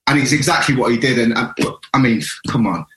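A man's voice: mid-range voice, a little fast, fairly bright tone, echoey room, a little hiss or hum, poor audio. A man from England, a former footballer, reminiscing as a guest on a casual podcast, rambling and earnest with frequent restarts.

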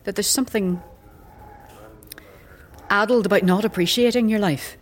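An actress playing a very old, weary and sardonic priestess in a fantasy audio drama, blunt, with archaic slang and wry chuckles. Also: female voice, medium pitch, normal speed, lightly acted. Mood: troubled, doubting. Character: very old striking woman, sardonic, weary, doubting death-cult priestess.

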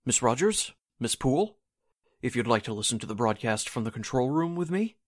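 A man playing a brisk, genial radio station host in a 1940s-style radio drama. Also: mid-range voice, very animated, no echo, studio quality. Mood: genial, businesslike. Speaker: actor in an amateur radio drama re-creation.

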